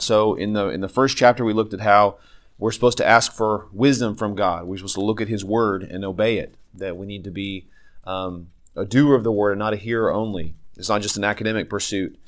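A man with a fairly low voice delivers a Bible lesson as a pastor. He has a cold and sounds a little sniffly. His teaching is halting, full of fillers and restarts. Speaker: pastor and podcast host.

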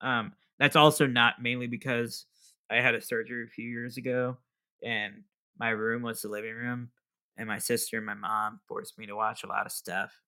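Young dude solo podcasting, bright clear voice, rambly and jokey, laughs at himself. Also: male voice, medium pitch, flat delivery, moderate pace. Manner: rambling, self-deprecating, laughs often. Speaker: podcast host. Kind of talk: casual solo podcast chat.

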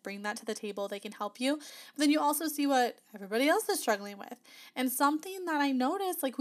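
A woman hosting a casual solo podcast, chatty and upbeat, her voice still slightly nasal and not fully back after an illness. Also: fairly high pitch. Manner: chatty, upbeat, frequent laughs and fillers. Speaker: podcast host.

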